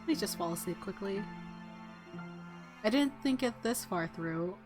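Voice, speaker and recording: A woman's voice: medium pitch, lively intonation, measured pace, a little mellow, faint music behind. A woman hosting a let's play, reading story text and adding playful, casual asides. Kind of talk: narration with casual commentary.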